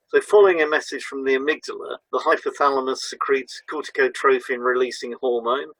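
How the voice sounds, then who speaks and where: A man delivers a scripted lesson as a co-presenter. His voice is bright and forward at a middling pitch, recorded dry and close, and his reading is measured and formal. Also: dry recording, poor audio.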